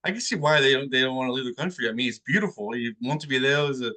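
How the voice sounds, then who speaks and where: This man from New Jersey answers interview questions in a casual, halting way, often restarting and repeating words. His voice is bright and sits in an ordinary middle pitch. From New Jersey, United States. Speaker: guest.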